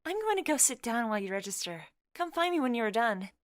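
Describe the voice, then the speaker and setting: A woman performs a bubbly young female best friend in an audiobook-style story. Her voice is high and very animated, playful and encouraging, recorded close and dry. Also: fast talker, no echo. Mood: warm, encouraging. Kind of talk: scripted audiobook character dialogue.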